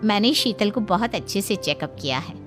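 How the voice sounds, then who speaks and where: A woman playing a matter-of-fact doctor in a cartoon, explaining in a measured way. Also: mid-range voice, lively intonation, faint music behind, lightly acted. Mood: grave, apologetic. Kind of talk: Hindi cartoon acting.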